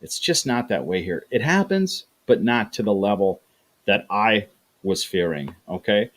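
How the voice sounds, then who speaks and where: A man's voice: fairly low pitch, animated, measured pace, fairly bright tone. Chatty man hosting a livestream. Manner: chatty, repeats words, acts out quoted voices. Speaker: livestream host reading chat. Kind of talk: casual livestream chat.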